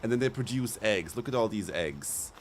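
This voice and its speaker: A young man talks over his gameplay in a campy, playful, slangy way and laughs easily. His voice sits fairly low for a man. A faint hum of room noise hangs behind him. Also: animated, normal speed. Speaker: streamer. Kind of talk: casual live-stream chat over gameplay.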